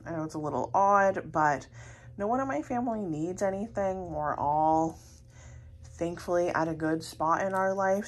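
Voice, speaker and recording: A young American woman narrating a casual vlog in a chatty, rambling way. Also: low-pitched. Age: young adult. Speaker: vlogger. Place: indoors at home, kitchen table. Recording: clear background noise, handheld home recording.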